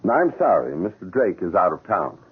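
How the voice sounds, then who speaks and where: A formal, guarded gentleman in a radio mystery. His voice is very low and husky, swooping theatrically through courteous phrases on a tinny old recording. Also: very animated, normal speed, full character voice. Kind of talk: 1970s radio drama acting.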